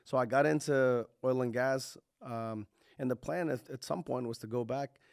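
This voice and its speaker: A man from Kazakhstan who grew up partly in Texas and is not a native English speaker, talking casually as an interview guest in a halting, self-correcting way. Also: medium pitch, gravelly, a little mellow, no echo, studio quality. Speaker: podcast guest, tech entrepreneur.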